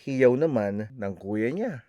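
A man reading a children's story aloud with expressive, animated narration. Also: medium pitch, slow pace, muffled recording, heavy background noise.

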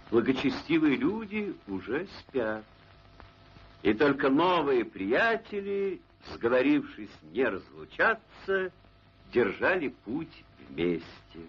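An actor as a solemn narrator of an old cartoon, declaiming poetic prose reverently with long pauses. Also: male voice, mid-range voice, sing-song, husky, exaggerated voice. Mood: hushed. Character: solemn, rapturous male storyteller. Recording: dull old film soundtrack.

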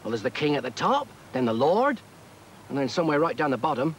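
A man's voice: a little high, sing-song, fairly quick, a little hiss or hum. A man playing a preachy medieval character who scolds and moralizes, recorded outdoors. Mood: lecturing. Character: stern preachy medieval man, lecturing on order. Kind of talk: educational drama acting. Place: outdoors under trees.

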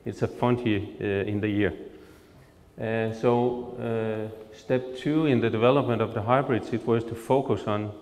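A man in his fifties with a Danish accent, speaking English as a second language, gives a presentation to farmers into a handheld mic, pausing often with filler sounds as he searches for words. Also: fairly low pitch, steady tone, a little mellow. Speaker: seed company product manager. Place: large hall with projector screen. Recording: long reverb from a large hall.

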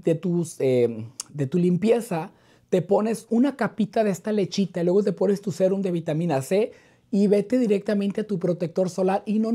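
A hoarse man presenting a skincare vlog to camera, chatting in an animated, playful and chummy way. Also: high-pitched. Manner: animated, playful, chummy with viewers, uses diminutives. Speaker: skincare video host. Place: furnished living room indoors. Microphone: clip-on lapel mic.

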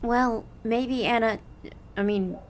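A woman's voice: on the high side, fairly even intonation, slow pace. A woman acting in an audio drama as a hero's supportive, teasing young best friend, casual, reassuring and playful.

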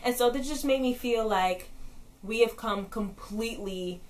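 A woman's voice: on the high side, unhurried. A young woman with a stuffed-up nasal voice from a cold, chatting casually and slangily as she reviews a show on her vlog. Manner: chatty, slangy, animated, laughs often. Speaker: vlogger, TV reviewer. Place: indoors, in front of a backdrop.

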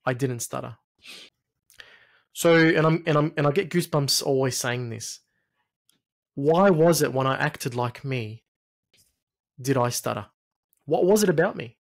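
A man in his late thirties from Egypt and Australia, a podcast guest sharing personal stories in a reflective, halting way with repeated phrases. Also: mid-range voice, gravelly. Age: late 30s. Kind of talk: personal storytelling in conversation. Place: small home studio, indoors. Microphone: close podcast mic on arm.

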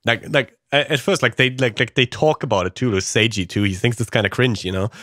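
A man's voice: mid-range voice, a little fast, fairly bright tone, dry recording, studio quality. A man on a podcast talking casually about film, filling his explanations with "like" and "you know". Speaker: podcast panelist.

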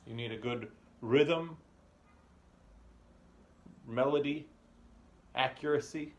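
A man in his thirties giving a casual music lesson at home, talking in a relaxed, chatty way with fillers and chuckles as he thinks aloud. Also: mid-range voice, takes it slowly, gravelly. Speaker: music teacher. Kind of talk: casual practice lesson between playing. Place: home room with bookshelves, indoors. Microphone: phone or camera on a stand nearby. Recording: home room recording with loud marimba. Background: marimba playing.

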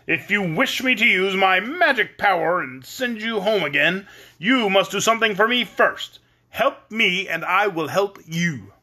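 A man voicing a great stern wizard, a giant head, in a storybook, with a commanding, emphatic manner and drawn-out words. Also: high voice, animated, pushed and bright, voice pushed up, exaggerated voice. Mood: stern, demanding. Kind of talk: storybook character reading.

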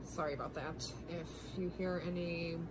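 A woman's voice: fairly low pitch, steady tone, normal speed, music in the background, low-quality recording. Chatty, slightly nasal woman doing makeup. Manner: chatty, rambling, many ums, apologetic asides. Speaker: beauty vlogger. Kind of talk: casual makeup tutorial. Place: bedroom at home, indoors. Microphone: phone camera held close.

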